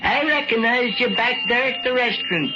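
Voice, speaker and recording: A man's voice: extremely high pitch, normal speed, heavy background noise. An actor playing a folksy, coldly amused old country man with a rural American accent, drawling and taunting in 1940s radio style. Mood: calm, mocking. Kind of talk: 1940s radio drama acting. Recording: dull old radio recording, loud music behind.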